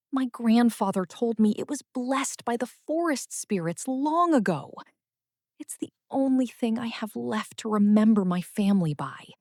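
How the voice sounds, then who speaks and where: A woman's voice: a little high, darkish tone, no echo, clean studio sound, pitched above the performer's own voice. A woman voicing a sad, kindly old male badger in a children's storybook, with a sorrowful, pleading manner.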